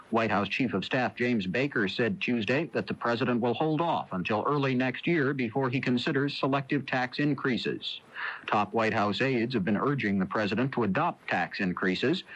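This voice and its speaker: A male American radio correspondent reads the news from a script at a middling pitch. The sound is dull and lo-fi, like an old broadcast taped off the radio in the 1980s. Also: a bit bright.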